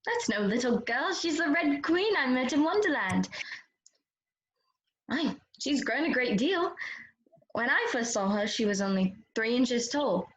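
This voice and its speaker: A woman's voice: high-pitched, big pitch swings, measured pace. A woman playing a curious, polite but easily exasperated little girl in a children's read-aloud play. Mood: surprised. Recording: roomy, slightly dull sound.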